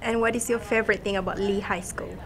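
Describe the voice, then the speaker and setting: A teenage girl hosting a school interview segment, asking questions in a steady, scripted way. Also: medium pitch, quick pace, creaky, a crowd in the background, rough recording. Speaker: student interviewer.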